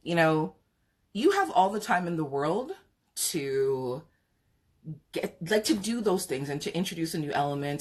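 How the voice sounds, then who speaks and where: Husky Jamaican woman, frank podcast host. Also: low-pitched. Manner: frank, opinionated, chatty, with frequent fillers. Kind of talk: live chat talk show, reading viewer comments.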